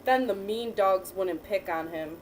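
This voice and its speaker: A woman teacher reading a picture book aloud to a child, pausing to ask questions and praise the answers. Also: medium pitch. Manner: patient, encouraging, asks and praises. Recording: distant mic with faint background noise.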